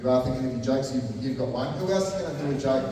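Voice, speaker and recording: A man's voice: medium pitch, lively intonation, very fast, dark tone. A man hosting a comedy night. He teases the audience in chatty banter and stumbles over repeated words. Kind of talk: live stand-up MC banter with the crowd. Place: large hall at a live comedy night. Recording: echoey hall from far off, crowd noise. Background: audience in the room.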